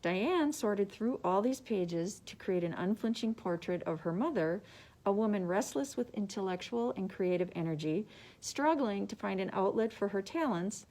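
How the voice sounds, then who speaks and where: A woman's voice: medium pitch, darkish tone. Woman librarian reading book summaries. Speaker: librarian presenting book picks. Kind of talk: scripted book summaries read aloud.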